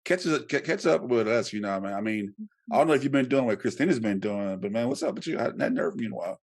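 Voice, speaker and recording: Expressive man with allergies. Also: quick pace. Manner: playful, expressive, jumps into high mock outrage. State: congested from allergies. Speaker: podcast co-host. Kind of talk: casual chat.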